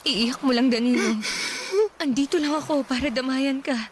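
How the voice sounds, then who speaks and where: A woman's voice: sits high, fairly even intonation, normal speed, a little hiss or hum, lightly acted. An actress playing a devoted young student girlfriend in an emotional, earnest Tagalog radio drama style. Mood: tender, concerned. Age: young adult. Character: Minerva, devoted young student girlfriend, hurt yet supportive.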